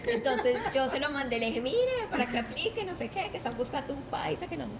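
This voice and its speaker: A woman's voice: high-pitched, lively intonation, quick pace. A middle-aged woman with a Bogotá Colombian accent, chatting casually and telling stories as an acting guest on a podcast. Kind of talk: casual storytelling chat. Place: shop with shelves of goods, indoors. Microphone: podcast mic at table. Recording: clear ambient noise behind voice.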